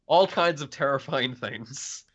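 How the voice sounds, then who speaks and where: A man's voice: mid-range voice, a little slow, fairly bright tone, lo-fi sound. A man co-hosting a podcast, giving casual, wry and understated commentary in a film review chat. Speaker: podcast co-host.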